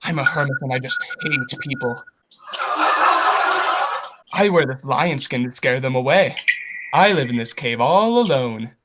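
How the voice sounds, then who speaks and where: A man's voice: mid-range voice, animated, measured pace, pauses often, lightly acted. A man playing an eccentric, people-hating hermit who poses as a lion in a comedy radio play, on a very noisy, clicky home recording. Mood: secretive, grumpy.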